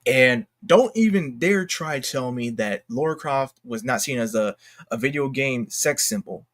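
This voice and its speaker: A man giving unscripted commentary as a YouTuber, talking in a halting, slangy, exclamatory way and often interrupting himself. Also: mid-range voice, expressive, a bit bright. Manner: rambling, halting, self-interrupting. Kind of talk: unscripted casual commentary rant.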